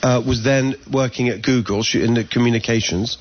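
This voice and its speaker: A man's voice: medium pitch, fairly even intonation. Earnest English man, muffled recording. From England. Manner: earnest, talks in quick restarts and self-corrections. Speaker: political candidate guest. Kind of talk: conversational interview.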